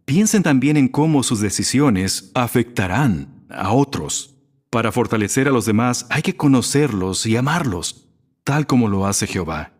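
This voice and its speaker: A man delivering a prepared religious sermon in a measured, didactic manner. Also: medium pitch, animated. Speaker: religious speaker at a graduation.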